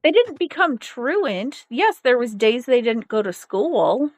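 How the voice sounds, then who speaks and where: Hesitant high-voiced woman asking questions. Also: slow. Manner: calm, hesitant, probing questions. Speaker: partner on a phone call. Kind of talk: tense personal conversation.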